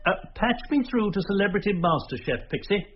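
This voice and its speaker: Casting: self-important talent agent, highish voice, swooping delivery, tetchy, gossipy, radio play, dull sound. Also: male voice, brisk, faint music behind. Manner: pompous, jargon-laden, animated.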